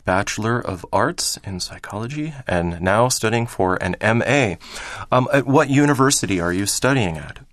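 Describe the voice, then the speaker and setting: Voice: medium pitch, expressive, normal speed, no echo, close to an everyday voice. An actor playing a helpful, courteous office clerk who methodically takes down details in a scripted exam listening dialogue. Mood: businesslike. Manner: courteous, methodical, repeats answers back.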